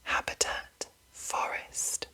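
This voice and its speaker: A woman whispering an ASMR reading in a breathy voice, deliberate and broken into short phrases with pauses. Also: pushed and bright, rough recording. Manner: slow, deliberate, pausing between short phrases. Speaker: ASMR host reading game monster entries.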